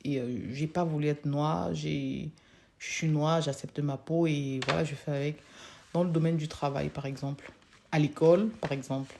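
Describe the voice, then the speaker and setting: A young woman from Africa, a social work student, giving a hesitant personal testimony with many pauses and restarts. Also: low voice, fairly even intonation, gravelly, mellow, a little hiss or hum.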